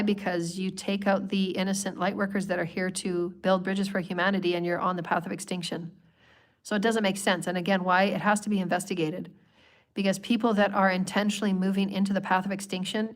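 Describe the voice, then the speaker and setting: A woman hosting a spiritual livestream, hoarse from a cold, talking in long flowing strings of repeated phrases. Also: medium pitch. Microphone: livestream microphone.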